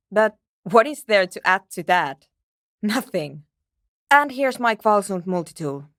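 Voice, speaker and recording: An actress playing a cool, scientifically curious young woman programmer in a video game, speaking in a measured, technical, dryly formal way. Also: medium pitch, a bit bright, no room sound, studio quality. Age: young adult. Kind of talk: video game character voice acting.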